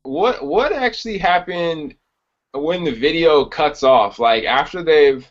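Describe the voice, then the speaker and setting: A man's voice: a little high, lively intonation, takes it slowly. A man hosting a casual podcast interview, talking in a blunt, informal way. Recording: dull lo-fi podcast recording.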